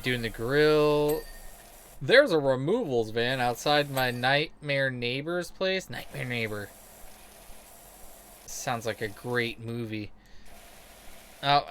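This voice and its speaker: A man with a mid-pitched voice chats casually as he plays a game, wandering between stories and commentary. He swears freely and has a sarcastic, self-deprecating way of talking. There is clear noise behind his voice. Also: fairly bright tone. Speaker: game streamer telling stories while playing.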